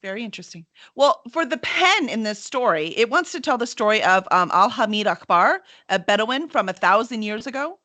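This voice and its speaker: Bright, animated woman podcast host. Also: on the high side, fairly quick, few pauses, dry recording. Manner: playful, mock-serious joking. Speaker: podcast host and editorial director. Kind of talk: upbeat scripted intro and casual interview chat.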